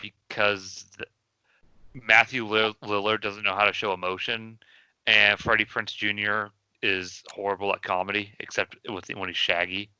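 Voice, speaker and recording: Bright, smooth-voiced man, close and dry. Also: lowish voice, flat delivery, moderate pace, no echo, rough recording. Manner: rambling, many fillers and restarts. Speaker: podcast co-host. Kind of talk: casual chat.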